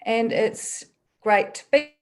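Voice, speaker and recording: Chatty New Zealand woman presenting. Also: fairly high pitch. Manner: quick, chatty, with frequent fillers and restarts. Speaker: guest presenter. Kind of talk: online workshop presentation.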